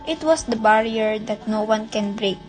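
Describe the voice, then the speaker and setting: A woman's voice: medium pitch, normal speed, faint music behind. A woman with a Filipino accent reading scripted narration for a radio drama.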